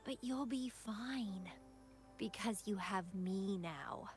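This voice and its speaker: A woman's voice: mid-range voice, takes it slowly, darkish tone, faint music behind, natural voice. An actress voicing a playful, dangerously devoted young woman rival in a video game, with a teasing, languid, dramatic delivery. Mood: tender, possessive. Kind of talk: scripted video game voice acting.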